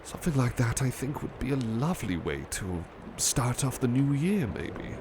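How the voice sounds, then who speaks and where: A man playing a gentle, bashful butler turned into a cuddly plush doll in an ASMR roleplay, speaking tenderly and politely with hesitant pauses. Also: medium pitch, sing-song, noisy background, lightly acted. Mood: hesitant, hopeful. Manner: hesitant, polite, tender, halting with soft repetitions. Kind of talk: ASMR roleplay, soothing personal attention.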